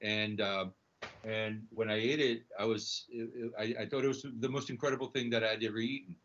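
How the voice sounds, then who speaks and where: A middle-aged man from Chile telling his own story conversationally as a guest, halting and repeating his words. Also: fairly low pitch, fairly even intonation, fairly bright tone, slight background noise. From Chile, emigrated to the United States. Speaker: podcast guest, snack bar entrepreneur. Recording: dull, lo-fi audio.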